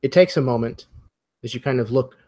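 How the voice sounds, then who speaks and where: A man working as game master, narrating a tabletop mystery in a measured, atmospheric way. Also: medium pitch, mellow.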